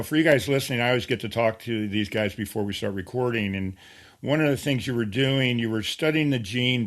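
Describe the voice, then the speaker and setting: A man's voice: medium pitch, a bit bright. A middle-aged man hosting a podcast, talking in an informal, chatty way as he interviews guests. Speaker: podcast host. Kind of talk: casual conversational interviewing.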